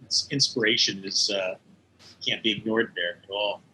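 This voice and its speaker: A middle-aged or older man talking as an interview guest, reminiscing in a halting, thoughtful way and searching for words. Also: lowish voice, fairly even intonation, pushed and bright, rough recording. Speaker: interview guest, animation studio head.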